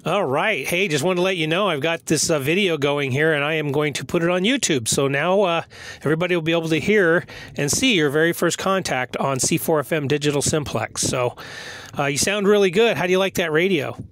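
Male hobby vlogger, higher-than-average pitch, informal, hesitant and rambling, recorded in a car interior. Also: a little fast, fairly bright tone, no echo. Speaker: amateur radio operator and video host. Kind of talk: casual vlog narration. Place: inside a parked car.

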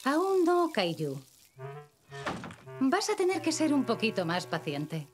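Very expressive Castilian cartoon mum. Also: female voice, high voice, slow, over music, heavily acted. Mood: patient, reassuring. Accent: Castilian Spanish. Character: patient, affectionate mother of two small children. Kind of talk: children's cartoon dub acting.

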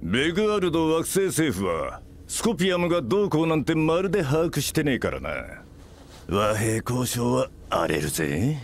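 An actor playing a gruff, blunt merchant who talks in a rough, slangy way in a video game cutscene. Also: male voice, mid-range voice, big pitch swings, normal speed, slight background noise. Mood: wry. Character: gruff blunt male merchant, middle-aged.

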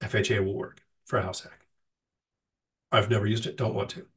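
Low-voiced man with a nasal cold. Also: flat delivery, a little fast, far from the mic. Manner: conversational, frequent ums and restarts. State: sick, nasal voice. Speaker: host answering live chat questions. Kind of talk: casual live-stream Q&A.

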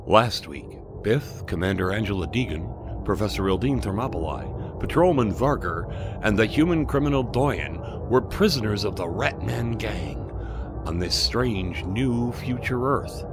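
A man's voice: on the low side, animated, measured pace. A man playing the bombastic, dramatic announcer and narrator of an old-time space adventure radio serial. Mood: dramatic, urgent. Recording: music under the voice.

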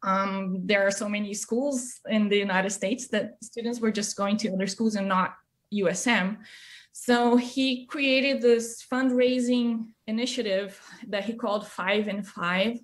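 A woman's voice: medium pitch, steady tone, measured pace. Brazilian-accented woman telling her story. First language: Portuguese. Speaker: interview guest, music educator. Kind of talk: conversational personal storytelling. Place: small indoor room, video call. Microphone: computer mic on video call.

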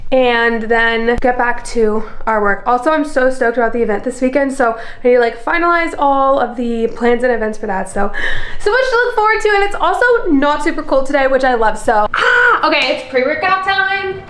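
A woman's voice: high-pitched, lively intonation, moderate pace, a bit bright. A woman in her twenties chatting casually in a vlog, bubbly and gushing, filming herself with a handheld camera at home and in her car. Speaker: lifestyle and fitness vlogger. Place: home kitchen and bedroom, later parked car. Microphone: handheld camera at arm's length.

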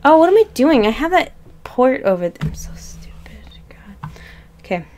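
A woman's voice: high-pitched, sing-song, normal speed. A woman in her thirties with a faint Arkansas accent rambles through a casual livestream, poking fun at herself as she shuffles things around her bedroom. She drifts on and off a webcam mic, and a faint hiss sits under her voice. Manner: rambling, self-mocking, talks while moving things around. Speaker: livestreaming doll collector. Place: small bedroom full of dolls. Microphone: webcam mic, often off-mic moving around.

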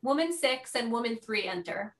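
Bright-voiced woman reading stage directions. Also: fairly high pitch, animated, normal speed. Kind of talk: scripted narration.

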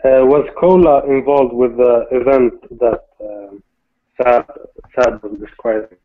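A man's voice: mid-range voice, fairly even intonation. A man calls in and asks a question, stumbling over fillers as he goes. His voice sits mid-range. It comes through narrow and tinny, like a phone line. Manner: halting, with fillers. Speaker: caller. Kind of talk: asking a question on a call.

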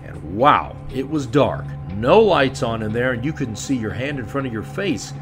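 A man's voice: mid-range voice, lively intonation, moderate pace. A man reading scripted narration for a paranormal investigation video. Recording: voice-over with background music.

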